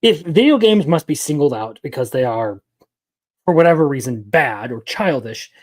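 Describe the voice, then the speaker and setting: A man's voice: fairly high pitch, lively intonation, moderate pace. Opinionated young man commenting on stream. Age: 20s. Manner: opinionated, rambling, reacts to chat. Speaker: anime news commentator. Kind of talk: casual live-stream commentary.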